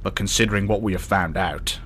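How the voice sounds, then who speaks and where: Man as a calm, deep-voiced starship doctor. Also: brisk, a little hiss or hum. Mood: cautious. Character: measured, thoughtful male starship doctor and confidant. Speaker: actor in a science-fiction fan drama. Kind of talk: dramatic dialogue.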